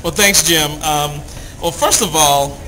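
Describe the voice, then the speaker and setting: A man's voice: a little high, unhurried, noisy background. A man speaking as a guest, explaining tools in an informal, plain-spoken, instructional way.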